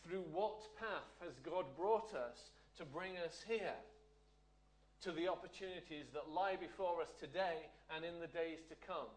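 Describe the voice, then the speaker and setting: A man's voice: a little high, unhurried. A man preaching a sermon, often asking the listeners rhetorical questions. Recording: echoey room.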